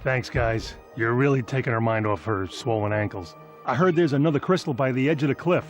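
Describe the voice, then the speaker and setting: An actor playing an anxious, earnest mammoth father-to-be in an animated video game, with a dry, fussy manner. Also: medium pitch, brisk, natural voice. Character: anxious, sincere male mammoth, expectant father. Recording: music behind the voice.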